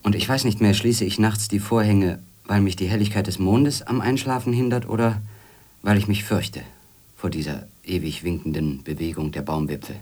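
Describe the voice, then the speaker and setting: A man playing a haunted, bitter, obsessive former editor in a radio drama, brooding in halting literary monologue that often sinks toward a whisper. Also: low voice, fairly even intonation, a little mellow. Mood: uneasy, brooding.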